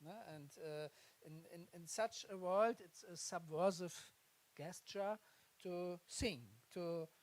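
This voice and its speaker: Slow, searching speaker with "no?" tags. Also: medium pitch. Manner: slow, searching, tag question "no?". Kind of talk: reflective discussion.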